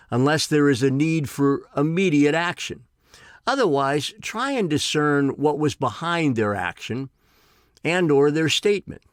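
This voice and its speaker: Animated man in his sixties reading an essay. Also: mid-range voice, no room sound, studio quality. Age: 60s. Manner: deliberate, emphatic, formal phrasing. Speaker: essayist reading own essay, addiction counselor. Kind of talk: scripted personal essay reading.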